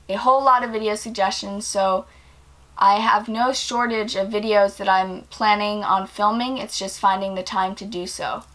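Young woman chatting casually on camera. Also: medium pitch. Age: 20s. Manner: relaxed, rambling, many ums and likes. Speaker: vlogger. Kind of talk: casual Q&A vlog. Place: small indoor room, bed behind.

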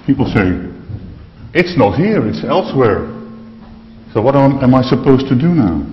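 A man with a Dutch accent playing a male medieval royal butler who guards knowledge, mock-solemn with restarts, in a lecture hall. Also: on the low side. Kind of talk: costumed role-play talk. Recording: echoey dull lecture hall sound.

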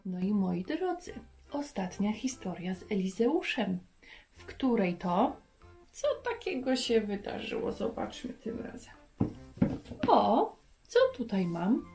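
A woman in her thirties telling stories to young children in an expressive way, often putting questions to her listeners. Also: medium pitch, takes it slowly, soft background music. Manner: expressive, addresses viewers with questions. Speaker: host of a children's Bible lesson. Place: furnished room indoors.

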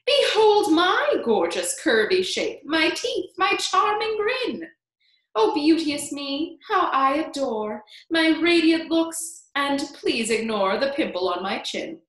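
A woman's voice: sits high, big pitch swings, slow, fairly bright tone, roomy sound, pitched above the performer's own voice, full character voice, low-quality recording. A woman voicing a vain, spiteful older aunt in a storybook, snapping and screeching with theatrical scorn. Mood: preening.

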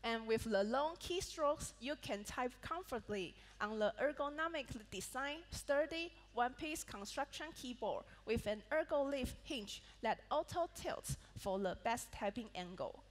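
A woman's voice: a little high, animated, moderate pace, hardly any pauses. A woman with a Malaysian English accent, presenting a product keynote on stage and reading prepared points with small slips and restarts. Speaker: product manager presenting a laptop launch. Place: large event hall on a stage. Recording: reverberant event hall sound.

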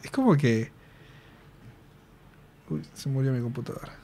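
A man's voice: mid-range voice, sing-song, measured pace, noisy background. A man in his thirties with an Argentine Spanish accent, giving casual, affectionate fan commentary as a reaction host, close on a studio microphone. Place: small indoor home studio. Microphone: close studio microphone on boom arm. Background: music.

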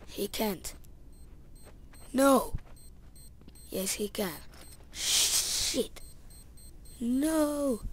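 A woman with a Finnish accent, her voice hoarse from yelling, giving halting, casual commentary while playing a shooter game. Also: a little high, slight background noise. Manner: halting, searching for words, many fillers. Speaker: gaming channel player. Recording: home mic with game gunfire behind.

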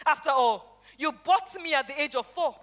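A woman's voice: sits high, normal speed, fairly bright tone. A woman with a Nigerian accent playing a bitter, wronged wife, declaiming accusations in a stage play. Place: theatre stage.

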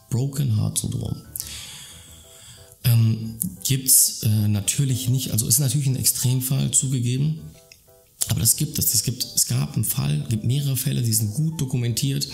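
Gravelly-voiced man talking earnestly to camera. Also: mid-range voice, warm tone. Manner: earnest, rambling, with frequent restarts and fillers. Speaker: YouTuber talking about mental health. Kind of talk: casual explanatory monologue to camera. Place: dim room at home, indoors.

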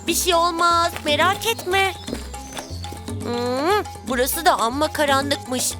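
Very high, youthful, reassuring cartoon hero. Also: female voice, animated, takes it slowly. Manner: reassuring, encouraging, clearly articulated for children. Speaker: main character of a children's cartoon. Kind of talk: animated children's cartoon dialogue. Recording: music bed behind the voice.

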